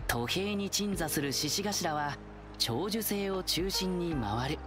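Cheery young lion dancer guy from a game, boyish n friendly, really bouncy pitch, music behind. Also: animated, slow. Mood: cheerful. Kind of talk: video game voice acting.

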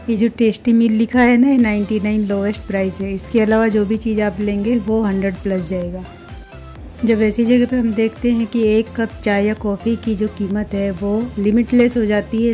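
A middle-aged woman with an Indian accent narrates a vlog in a soft, mellow tone. She explains things chattily, as if to friends, at a medium pitch. Music plays behind her voice. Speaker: vlogger and channel host. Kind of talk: scripted-sounding travel vlog narration addressed to viewers.

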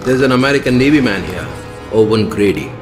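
A man playing a breezy but quietly insistent wealthy park owner in video game cutscenes. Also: lowish voice, expressive, quick pace, over music, natural voice.

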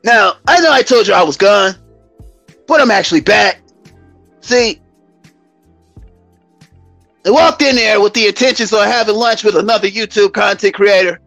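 An American man around fifty hosting a live stream as a comedic mock church testimony, with a theatrical, drawn-out, preacher-like delivery. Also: sits high, vocal fry, a bit bright, no echo, faint music behind. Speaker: YouTube live stream host.

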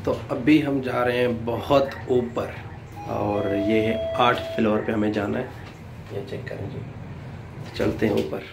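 A man's voice: lowish voice, steady tone, slow, gravelly, music in the background. A man giving casual, informal vlog commentary on the sights around him, often exclaiming in admiration. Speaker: vlogger and delivery driver. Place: in a moving car and outdoors. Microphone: phone held in hand, no external mic. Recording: loud traffic and outdoor noise, no microphone.

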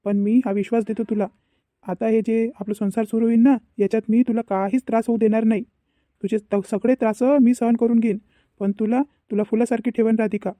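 Devoted young cartoon husband acted by a man, earnest and explanatory, high mellow voice, Varhadi Marathi accent. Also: level tone, brisk, no room sound, natural voice. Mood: earnest, tender. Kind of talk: cartoon family drama dialogue.

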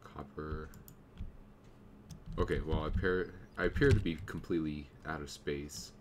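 A young man with a slight cold, casually commenting on his game as he plays and thinking aloud, now and then clearing his throat. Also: very deep voice, steady tone, normal speed, noisy background. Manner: easygoing, thinking aloud while playing. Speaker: gameplay commentator. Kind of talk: casual let's play commentary.

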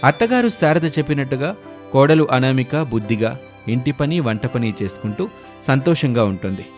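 A man narrates a scripted story. His voice sits at an ordinary male pitch. Soft music plays behind him. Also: animated, moderate pace.

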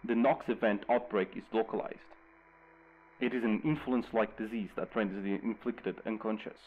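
An actor playing a grave radio news host, reading a scripted bulletin in a measured, urgent way over a lo-fi broadcast. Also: male voice, on the low side, fairly even intonation, close to an everyday voice. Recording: dull lo-fi radio broadcast sound.

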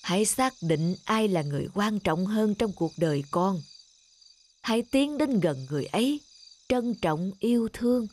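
A woman voicing a grave, kindly elderly father in a cartoon, giving measured counsel. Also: medium pitch, expressive, darkish tone, close to an everyday voice. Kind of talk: scripted cartoon dialogue.

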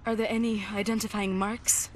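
A woman playing a calm, attentive rebel radio operator in a game, heard over radio. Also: medium pitch, normal speed, slight background noise. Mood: attentive, caring. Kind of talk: dramatic game acting.

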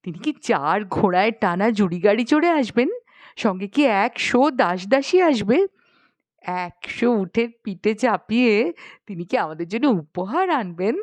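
A woman's voice: medium pitch, animated, unhurried, no echo, close to an everyday voice. A woman voicing a haughty, spoiled young princess in a storybook, asking questions in a huffy, indignant manner. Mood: greedy curiosity. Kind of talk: dramatised storybook reading.

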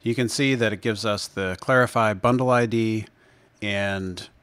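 Male screencast presenter, lower register, measured step-by-step tutorial delivery, unscripted. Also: fairly even intonation, fairly bright tone, smooth, no room sound. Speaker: presenter of a software demo.

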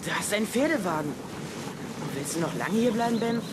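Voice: mid-range voice, animated, brisk, very noisy, natural voice. A performer playing an eager, adventurous young runaway boy in a children's audio drama, urging and curious.

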